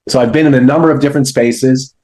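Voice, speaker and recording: A man's voice: medium pitch, steady tone, fast, darkish tone, rough recording. A man in his fifties telling his career story in an interview, acting out the remarks of people he quotes as he goes. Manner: anecdotal, acts out quoted dialogue. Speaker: sales entrepreneur.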